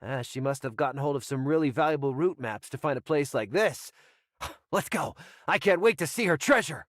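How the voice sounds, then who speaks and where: Man voicing a high-pitched, gleeful young pirate. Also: expressive, moderate pace, no room sound, clean studio sound, close to an everyday voice. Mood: eager. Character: gleeful, greedy young male pirate treasure hunter. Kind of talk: anime video game voice acting.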